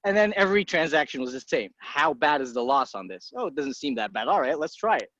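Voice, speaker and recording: A man in his thirties from Egypt talks in a blunt, easygoing way and swears casually as he answers. His voice sits a little high for a man. The recording is dry and a bit muffled. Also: sing-song, a bit bright, dry recording. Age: 30s. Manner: blunt, swears casually, quick impulsive asides. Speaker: guest, entrepreneur. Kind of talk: casual interview talk. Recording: dry muffled podcast audio.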